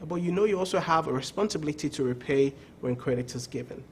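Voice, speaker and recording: A man with a fairly high voice answers a TV business interview as a credit bureau executive. He talks emphatically, stumbles and repeats words, and keeps checking with "okay?". Faint room ambience sits under his voice. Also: fast, a little hiss or hum. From not from the country of the show.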